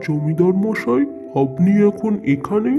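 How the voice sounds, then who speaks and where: A man voicing a poor, indebted middle-aged farmer in a cartoon, weary and humble, over loud background music. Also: sits high, expressive, slow pace, gravelly, highs cut off, full character voice. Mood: pleading. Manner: weary, pleading.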